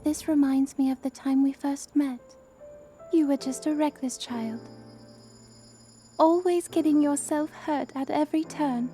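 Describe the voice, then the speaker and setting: Soft-spoken healer princess, high sweet voice, game music up loud underneath. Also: female voice, fairly even intonation, a little slow. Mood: tender. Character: gentle young female healer princess, devoted. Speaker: game character. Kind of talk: game cutscene dialogue.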